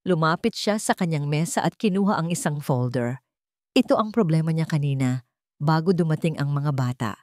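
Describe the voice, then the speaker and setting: A woman narrating a scripted dramatic story with an expressive, theatrical delivery. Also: low-pitched, moderate pace, no echo, clean studio sound.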